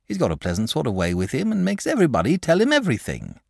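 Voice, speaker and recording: Man putting on a sensible, high-voiced country mother. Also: huge pitch swings, quick pace, gravelly, no room sound, clean studio sound, exaggerated voice. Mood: gentle, sympathetic. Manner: homely rural dialect, soothing reasoning. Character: sensible, kindly rustic mother, shepherd's wife. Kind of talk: storybook character voice.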